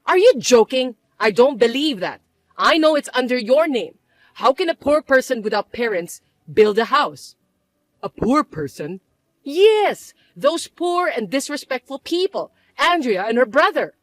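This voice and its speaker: A woman's voice: high voice, sing-song, a little slow, no room sound. A woman voicing a bossy, scornful older mother-in-law who scolds and accuses in an indignant, whiny way. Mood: shocked. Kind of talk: dramatized story voice acting.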